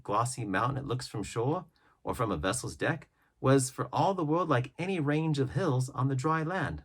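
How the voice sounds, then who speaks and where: A man reading an adventure novel aloud as a storybook narrator, with measured, dramatic phrasing. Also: mid-range voice, breathy edge. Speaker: audiobook reader.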